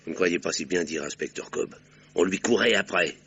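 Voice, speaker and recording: An actor in an old radio drama playing a shrewd doctor who investigates crimes, calm and ironic as he explains his deductions. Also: deep voice, brisk, slightly rough, heavily acted. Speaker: amateur detective doctor. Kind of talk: 1960s French radio drama acting. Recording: dull old radio broadcast recording.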